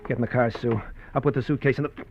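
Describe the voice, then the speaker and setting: An actor playing a cornered young husband in a 1950s radio drama, hesitant and stammering. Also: male voice, mid-range voice, steady tone, fast, darkish tone, lightly acted. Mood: nervous. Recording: muffled 1950s radio broadcast with faint hiss.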